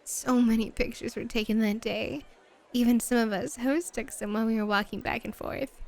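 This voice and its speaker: A woman's voice: on the high side, steady tone, normal speed, a little mellow, close to an everyday voice. A woman playing a flirty, teasing, self-assured club hostess in an ASMR roleplay. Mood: playfully threatening.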